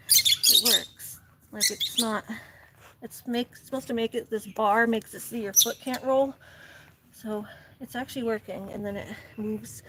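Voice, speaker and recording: A woman's voice: a little high, noisy background. A young woman chatting casually to camera while doing farm chores outdoors, laughing often and cooing at her animals. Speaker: farm vlogger.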